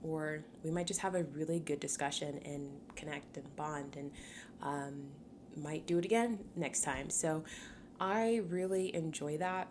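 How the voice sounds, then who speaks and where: A woman in her twenties, from Cameroon, hosting a solo podcast in a chatty, upbeat way, laughing often and filling pauses with ums. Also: low-pitched, noisy background. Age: 20s. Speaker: podcast host.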